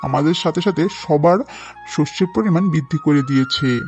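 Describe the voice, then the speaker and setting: A man's voice: fairly high pitch, moderate pace, a little mellow, dull audio, soft background music, close to an everyday voice. A man playing a humble, cautious poor father-in-law in a cartoon folk tale, mild and deferential. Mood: relieved.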